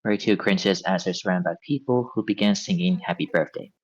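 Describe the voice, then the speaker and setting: A man's voice: sits low, brisk, no echo, highs cut off. A man narrating a script's stage directions at a table read.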